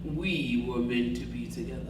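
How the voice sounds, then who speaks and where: A woman reading aloud as a jealous, melodramatic male villain swordsman, swinging between shouted lines and low mutters. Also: moderate pace, background noise. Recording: echoey room, distant mic.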